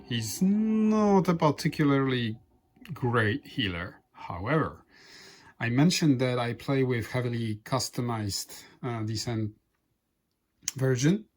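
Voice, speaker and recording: Halting man, Polish native speaker. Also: medium pitch, animated. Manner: halting, frequent restarts and fillers. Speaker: board game channel host. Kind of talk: casual playthrough commentary.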